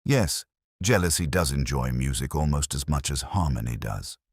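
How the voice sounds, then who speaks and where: A man's voice: extremely low pitch, lively intonation, normal speed, slightly rough, no echo, studio quality, full character voice. A man voicing a mild, cryptic police inspector in a detective story, speaking in a formal, musing way. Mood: wry, musing.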